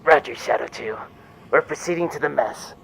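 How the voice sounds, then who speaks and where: A man's voice: medium pitch, moderate pace, vocal fry, a bit bright, a little hiss or hum, poor audio. A man playing a businesslike but playful commando intruder in a comedic audio drama.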